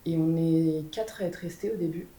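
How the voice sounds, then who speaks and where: A woman's voice: moderate pace, warm tone. A young woman, a band's guitarist, telling the band's story in a casual interview with many fillers. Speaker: guitarist in a band. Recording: echoey room with faint rumble.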